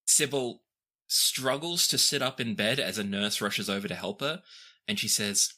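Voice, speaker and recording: A woman's voice: a bass voice, normal speed, sharp tone. A woman running a tabletop game as game master, improvising the story aloud for her players.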